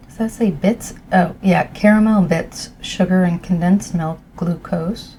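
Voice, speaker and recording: A middle-aged woman speaking softly during an ASMR tea tasting, her voice croaky, clearing her throat often, rambling gently with fillers. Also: lowish voice, slight background noise, low-quality recording. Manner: rambling, soft and unhurried with fillers.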